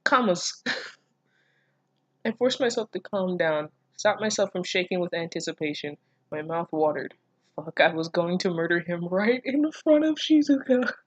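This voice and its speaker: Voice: mid-range voice, expressive, measured pace, no room sound. A person reading fan fiction aloud casually, breaking off with mocking, incredulous, sweary commentary. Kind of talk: casual reaction reading with sarcastic asides.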